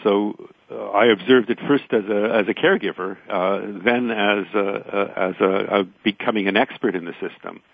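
An older man with a lowish voice speaks on a dull, band-limited connection. He is an interview guest, reflective and hesitant, often repeating words. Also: moderate pace, gravelly, dry recording. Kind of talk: reflective personal recollection.

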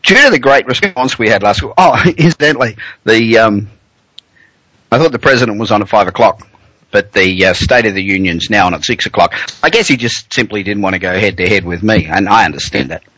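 A man's voice: fairly low pitch, a little fast, bright, forceful tone, breathy edge, dry recording, rough recording. Australian man hosting business talk radio. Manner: blunt, joking, chatty with fillers. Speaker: radio show host.

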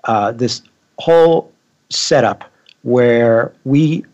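Male guest correspondent, average pitch, measured conversational commentary, slightly dull studio recording. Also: a little mellow. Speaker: magazine editor, guest news correspondent. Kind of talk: conversational radio news commentary. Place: radio studio.

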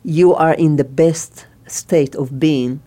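A woman from Israel with an Israeli accent, a chef guest speaking in a radio interview in a slow, halting way. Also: low voice, fairly even intonation, dark tone.

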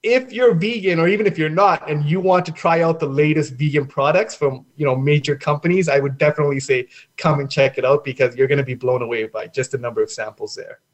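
Earnest man with halting restarts. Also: on the high side. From Sri Lanka. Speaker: festival founder guest. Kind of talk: casual interview answers.

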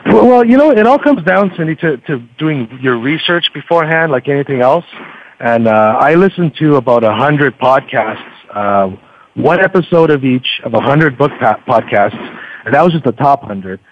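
A man's voice: medium pitch, animated, normal speed, muffled recording. A man speaking as a podcaster guest in a casual interview, telling anecdotes in a chatty, hesitant way. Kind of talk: casual, conversational interview talk.

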